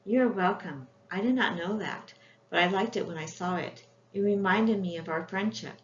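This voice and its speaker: A woman's voice: medium pitch, normal speed, natural voice. A woman voicing a shy, polite young girl earnestly in a children's storybook reading. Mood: pleased. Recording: echoey room, dull sound, faint noise.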